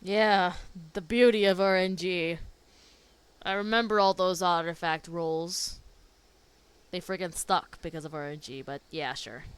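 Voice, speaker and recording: A young woman streaming a live reaction to a game, gushing with excitement as she plays. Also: fairly low pitch, unhurried, clean tone.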